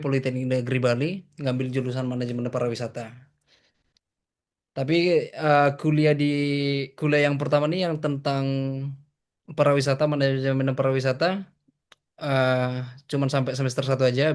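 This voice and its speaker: Young man, fairly even intonation. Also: medium pitch. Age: 20s. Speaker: podcast guest, tour guide. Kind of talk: casual interview answers.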